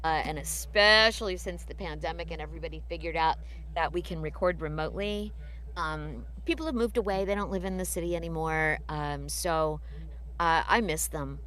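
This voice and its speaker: A woman's voice: low voice, moderate pace, poor audio. A woman voice actress answering fan questions in a casual live Q&A, chatty and full of fillers. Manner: chatty, warm storytelling with fillers. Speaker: voice actress guest.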